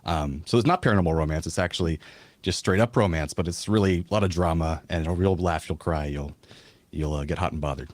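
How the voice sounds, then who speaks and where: A man's voice: deep voice, brisk, no echo. A man who is a voice actor, chatting casually and laughing often as an interview guest. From American South.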